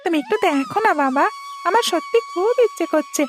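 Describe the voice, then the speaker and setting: A woman's voice: very high voice, lively intonation, brisk, music in the background. A woman voicing a whiny, pleading little boy in a Bangla cartoon. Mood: eager, pleading.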